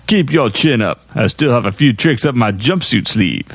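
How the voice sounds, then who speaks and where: A man's voice: mid-range voice, expressive, normal speed, very noisy, natural voice. A man playing a plucky, melodramatic rebel hero in an amateur audio drama, with earnest, hammy delivery. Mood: reassuring. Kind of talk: amateur read-along audio drama acting.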